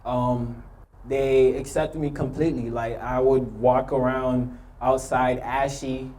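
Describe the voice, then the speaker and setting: Nervous young man, dark flat voice. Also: mid-range voice, slow pace. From Haiti. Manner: nervous, hesitant, many ums. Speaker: youth speaker sharing his story. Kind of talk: personal testimony speech.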